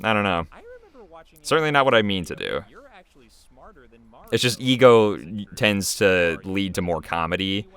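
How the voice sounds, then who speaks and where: A man giving casual, rambling, self-critical commentary on his own animated series as its creator. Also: deep voice, a little slow, pauses often, clean tone.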